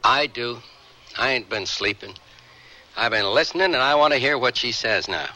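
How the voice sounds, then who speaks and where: An actor playing a guilt-ridden, bitter war veteran with rough working-class speech in a radio drama. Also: male voice, mid-range voice, lively intonation, moderate pace, pushed and bright, rough recording. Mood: gruff.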